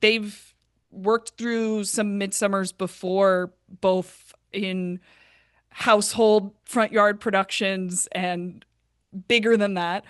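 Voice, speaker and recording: Female interview guest, actor-producer type. Mid-range voice, dry recording, casual storyteller who voices quoted remarks in an animated way. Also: medium pitch, slow, clean tone, dry recording.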